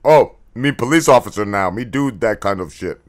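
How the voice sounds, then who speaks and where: A man's voice: fairly low pitch, lively intonation, moderate pace, over a noisy crowd, heavy background noise, natural voice. A man voicing a simple-minded, cheerful ape police officer who speaks in broken caveman grammar, in an adult comedy cartoon. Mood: dim, cheerful.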